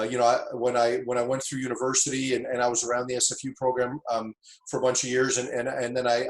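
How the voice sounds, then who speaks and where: Reflective middle-aged man in a roomy space. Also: mid-range voice. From Tanzania. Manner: reflective, many fillers and restarts. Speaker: football coach, interview guest. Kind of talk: casual interview storytelling.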